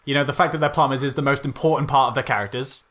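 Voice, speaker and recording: A man co-hosting a podcast, casually critiquing a film in a halting way, repeating words as he goes. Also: mid-range voice, fairly even intonation, a bit bright. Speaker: podcast co-host.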